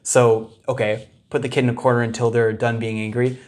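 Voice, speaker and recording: A man's voice: medium pitch, little pitch movement, fairly quick. Casual young man reacting to a clip. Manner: casual, hesitant, laughs often. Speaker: commentator, video host.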